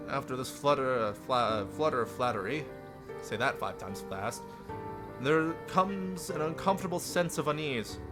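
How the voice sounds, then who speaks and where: A man live-streaming a visual novel, reading it aloud theatrically with playful asides. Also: medium pitch. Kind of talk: dramatic read-aloud narration with joking asides. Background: music.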